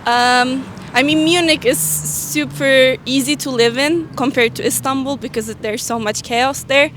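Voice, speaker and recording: A woman from Turkey answers questions on a city street. Her voice is slightly high and her talk is relaxed and hedging. Loud traffic noise and passing cars surround her. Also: a little slow. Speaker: interviewee.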